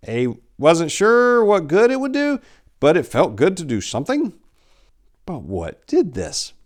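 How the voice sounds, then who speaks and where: A man in his forties giving a theatrical, deliberate dramatic reading of a horror story, with emphatic swells and hushed drops. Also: lowish voice, gravelly. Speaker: author reading a short story. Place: indoor room lined with bookshelves. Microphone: lapel mic.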